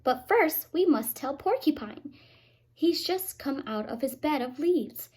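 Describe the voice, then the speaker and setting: A woman's voice: high-pitched, animated, unhurried, darkish tone. A woman voicing small, concerned birds in a children's storybook with cooing, emphatic calls. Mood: calling, concerned.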